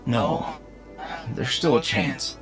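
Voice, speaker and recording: An actor playing a loyal, steady gunslinger, earnest and urgent, in an anime-style audio drama. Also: male voice, on the high side, takes it slowly, music in the background. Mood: earnest, resolved. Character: loyal, steady male gunslinger pleading for his partner's help.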